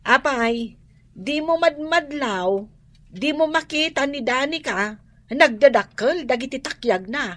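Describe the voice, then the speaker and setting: A woman's voice: sits high, lively intonation, a little slow, a bit bright, no room sound. A woman with an Ilocano accent playing a brash, gossipy housewife in a radio drama. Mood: mocking, gossipy.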